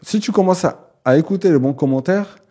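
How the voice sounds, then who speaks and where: A young man whose first language is not French, talking casually as a podcast guest, animated and laughing often. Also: sits high, a little fast, slightly rough. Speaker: guest, content creator.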